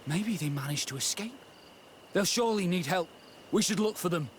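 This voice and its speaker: An actor playing a dutiful army corporal in a video game, formal and deferential. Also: male voice, sits high, lively intonation, moderate pace, a little hiss or hum. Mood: grim concern. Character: dutiful male army corporal, grim toward his enemies.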